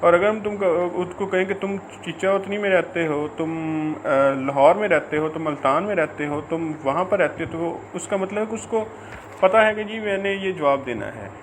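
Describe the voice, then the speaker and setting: A man with a Pakistani accent explaining law in an informal lecture, haltingly and repetitively, mixing in English legal terms. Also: sits high, a little hiss or hum, low-quality recording.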